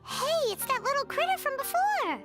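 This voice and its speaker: A woman's voice: extremely high pitch, big pitch swings, normal speed. A woman voicing a small, childlike fairy companion in a video game, perky, chirpy and prone to exclaiming. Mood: surprised. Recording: game music behind the voice.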